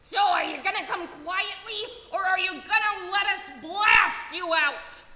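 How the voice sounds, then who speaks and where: A woman playing a loud, self-righteous cop who insists on being sensitive, in a live comedy radio play. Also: very high voice, measured pace, exaggerated voice. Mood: blustering, earnest. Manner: loud, earnest, self-justifying, shouting across the room. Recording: echoey hall, live stage performance.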